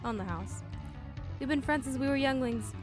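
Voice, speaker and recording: A woman's voice: sits high, normal speed, over music, natural voice. A woman playing a familiar, teasing underworld boss in a fan-made sci-fi audio drama, speaking without hurry. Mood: friendly. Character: smooth-dealing female underworld boss in a space cantina.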